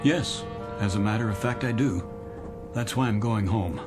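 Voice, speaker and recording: A man's voice: on the low side, normal speed, over music, close to an everyday voice. An American actor playing a weary, distracted middle-aged commuter in a radio drama, polite and hesitant as he speaks. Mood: uneasy, pleading. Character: weary, distracted married male commuter, wistful and evasive.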